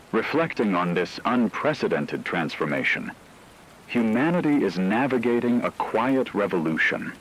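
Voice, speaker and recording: A man delivering scripted news narration as a radio co-anchor in a formal, measured way. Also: fairly low pitch, slightly rough, rough recording.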